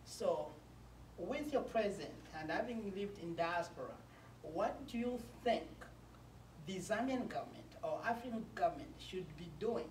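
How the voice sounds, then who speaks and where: A man hosting a studio talk show, speaking haltingly with frequent ums and uhs. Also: high voice, a little mellow. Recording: roomy, distant mic, noisy.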